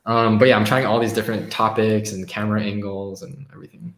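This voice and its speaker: A man hosting a live stream, reading quotes aloud and reacting in a relaxed, upbeat way with frequent laughs. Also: on the low side, quick pace, roomy sound.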